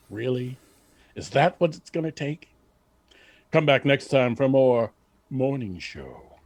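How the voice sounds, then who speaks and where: A man works as narrator and announcer of a radio serial. His voice is slightly rough and animated, recorded dry. The delivery is scripted and theatrical. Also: medium pitch, no room sound.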